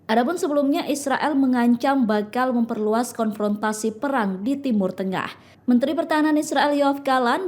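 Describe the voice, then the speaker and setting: Higher-voiced woman narrating news. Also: a little mellow.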